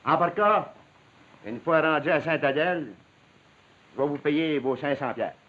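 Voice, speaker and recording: A man's voice: fairly high pitch, measured pace, close to an everyday voice. An actor playing a proud, prickly rural father in a 1950s television drama, blunt and rustic, with a Quebec French accent. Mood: brisk. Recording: muffled, faintly noisy 1950s television soundtrack.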